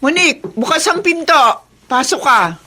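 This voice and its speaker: A woman's voice: high voice, lively intonation, normal speed, bright, forceful tone. An actress playing a chatty, knowing middle-aged widowed mother in a Filipino radio drama. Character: warm, teasing widowed mother, market clothes vendor.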